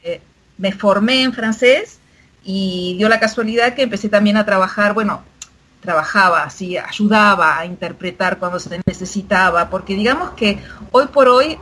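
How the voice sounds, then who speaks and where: A woman's voice: medium pitch, moderate pace, a bit bright, slight background noise, poor audio. A woman sign language interpreter as a podcast guest, whose first language is Argentine Sign Language, explaining things thoughtfully.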